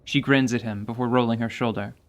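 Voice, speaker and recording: A man's voice: mid-range voice, steady tone, a little fast, lo-fi sound. A man giving dramatic, scripted narration for a fantasy radio play. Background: music.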